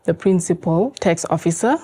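A woman's voice: fairly low pitch, animated, normal speed, mellow. A woman hosting a studio interview, introducing the guest and asking questions in a formal, scripted way. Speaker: show host. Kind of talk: scripted presenting. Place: TV studio, seated.

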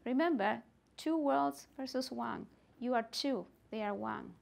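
A woman's voice: fairly high pitch, measured pace, gravelly, a little mellow. A middle-aged woman presenting at a teacher workshop, talking animatedly and with emphasis in a meeting room. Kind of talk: workshop discussion.

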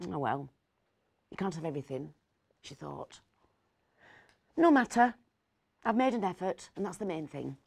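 A woman in her fifties reading a story aloud dramatically, with pauses and hushed asides. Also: on the low side, sing-song, creaky, slightly rough, no echo, studio quality. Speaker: actor reading a short story.

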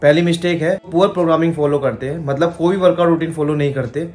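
A young man speaks close to a phone camera in a room at home, his voice a touch higher than usual for a man. He gives friendly, direct fitness tips in a casual vlog style. Faint background music plays behind him. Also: steady tone, quick pace, darkish tone. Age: young adult. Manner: friendly, direct advice, frequent restarts. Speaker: fitness vlogger.

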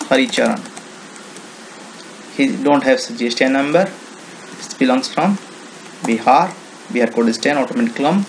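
A man with an Indian accent demonstrating software in an informal screen-recorded tutorial, with non-native English phrasing and frequent repetition. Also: mid-range voice, moderate pace, creaky, pauses often. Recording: noisy home-made screen recording.

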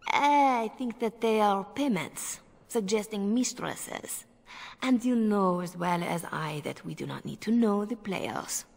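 Breathy, seductive spymistress. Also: female voice, mid-range voice, slow pace, darkish tone. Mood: sly, commanding. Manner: teasing, possessive, silky. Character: seductive, commanding female spymaster and mentor. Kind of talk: video game voice acting.

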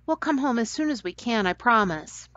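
A woman's voice: a little high, expressive, moderate pace, fairly bright tone, dry recording, voice pushed up. A woman voicing an earnest young girl who pleads and apologizes, in a dry, dull recording. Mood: pleading.

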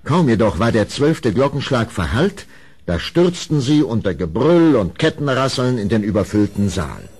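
A man's voice: medium pitch, big pitch swings, moderate pace, a little mellow, slightly rough, soft background music. A man narrating a horror audio play as dramatic scripted storytelling.

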